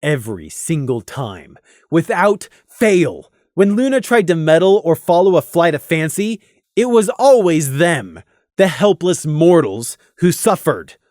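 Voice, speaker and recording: A man reading a scripted story as a narrator, deliberate and expressive, with dramatic emphasis. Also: on the high side, no room sound, studio quality. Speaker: audiobook narrator.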